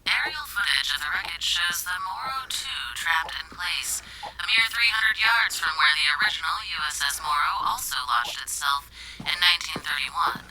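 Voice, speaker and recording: A woman's voice: on the low side, lively intonation, moderate pace, very noisy, lightly acted. A woman playing a solemn television news anchor in a drama, delivering a formal scripted disaster report. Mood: grave, formal.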